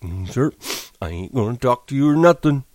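A man voicing a big, slow-witted, childlike ranch hand who speaks in a drawling, plaintive way. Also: medium pitch, lively intonation, a little mellow, no room sound, studio quality, voice pushed up, close to an everyday voice. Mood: wary. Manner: drawling, plaintive, simple speech.

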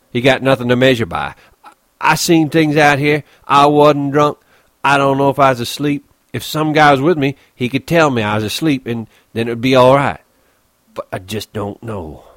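A man's voice: mid-range voice, moderate pace, fairly bright tone, no echo, clean studio sound, pitched above the performer's own voice, close to an everyday voice. A man voicing a lonely, bitter stable hand in an audiobook, taunting and scornful, brooding in colloquial rural ranch talk.

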